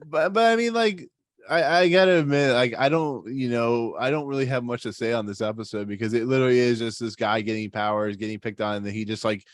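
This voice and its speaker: A man's voice: mid-range voice, brisk, dry recording, studio quality. A man hosting a podcast, discussing a TV episode casually and rambling with lots of "like" and "I mean.". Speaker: podcast host.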